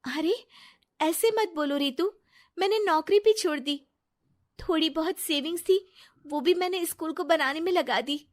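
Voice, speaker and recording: A woman voicing a naive, soft-hearted young wife, earnest and pleading, in a moral story. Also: very high-pitched, unhurried, pitched above the performer's own voice. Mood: hurt, pleading.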